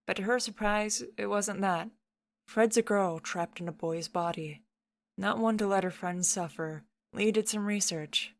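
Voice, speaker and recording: A woman narrating a scripted story in the present tense, as the storyteller between character lines. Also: fairly low pitch.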